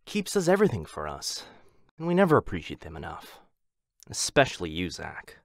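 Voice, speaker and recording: A man's voice: sits low, huge pitch swings, fairly quick, creaky, no echo, studio quality, full character voice. A man playing a goth horseman in a comedy roleplay, casual and chiding, with an exasperated manner. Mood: scolding. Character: dry, scolding goth horseman in eyeliner. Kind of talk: boyfriend ASMR comedy roleplay.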